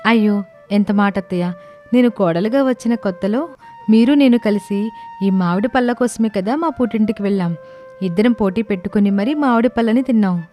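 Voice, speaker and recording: A woman voicing an earnest, sentimental young daughter-in-law in an animated village story, respectful and emotive, over music. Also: medium pitch, fairly quick, natural voice. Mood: happy, nostalgic. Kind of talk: acted dialogue in animated comedy story. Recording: music under the voice.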